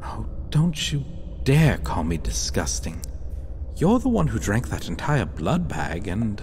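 A man playing a possessive, suave vampire in a gothic ASMR roleplay, speaking in a theatrical, taunting way with drawn-out menacing endearments. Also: on the low side. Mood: taunting, indignant. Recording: steady noisy ambience under the voice.

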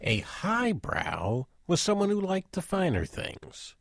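A wry, rambling intellectual in a radio drama. His pitch swings dramatically while he takes his time, digressing and hesitating. The sound is dry and close. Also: wildly swooping pitch, slow, vocal fry, no echo, full character voice. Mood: pedantic, amused. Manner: hesitant, digressive, chuckling asides. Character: rambling, wry, self-deprecating male intellectual reminiscing. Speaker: actor in a radio play. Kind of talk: conversational dramatic monologue.

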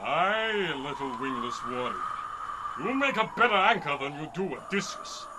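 A man's voice: fairly high pitch, big pitch swings, moderate pace, noisy background. A man playing a boisterous, proud winged falcon-man leader in a grandiose, declamatory old-time radio serial style. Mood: grand, proud.

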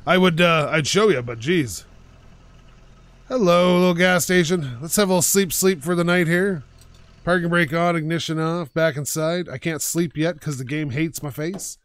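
Man, gaming commentary, slightly high voice, pushed-out and loud, rambling, self-mocking, home mic. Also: normal speed, clean tone, dry recording. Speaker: gaming channel host. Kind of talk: casual let's-play commentary. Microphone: home microphone while playing on a couch.

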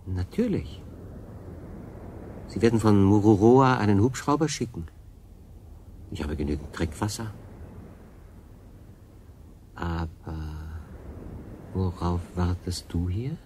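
A man playing a weary, reflective stranded sailor in a radio play, talking in a halting, conversational way. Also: low voice, warm tone, slight background noise. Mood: confident. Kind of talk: German radio play acting.

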